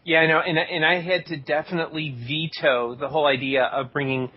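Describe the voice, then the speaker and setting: A man with a husky voice chatting casually on a podcast, haltingly and repeating his words. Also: on the high side, flat delivery. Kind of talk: casual group chat. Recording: dull lo-fi audio.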